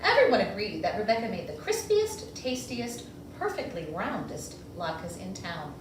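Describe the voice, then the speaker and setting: A woman's voice: mid-range voice, sing-song, moderate pace. A woman reading a picture book aloud to children, expressively and with dramatic emphasis. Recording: echoey room, distant mic, faint noise.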